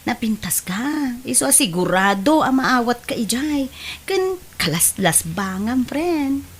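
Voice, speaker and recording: Woman acting a breezy, animated Ilocano city waitress. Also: on the high side, measured pace, slightly rough, heavily acted. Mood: coaxing. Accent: Ilocano Filipino. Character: breezy, worldly young city woman, friendly beer-house waitress. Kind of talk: Ilocano audio drama acting.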